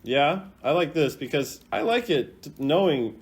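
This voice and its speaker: A man giving casual, chatty commentary on game voice lines in an animated, playful way. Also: on the high side, a little slow. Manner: animated, acts out imagined quotes in exaggerated voices. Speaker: gaming content creator.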